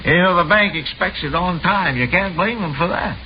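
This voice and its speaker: Harried folksy property developer, higher-voiced and lively, old muffled radio drama. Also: male voice, moderate pace. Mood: fretful. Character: harried, grumbling male housing developer under money pressure. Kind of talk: 1950s radio drama acting. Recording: muffled vintage radio recording, faint noise.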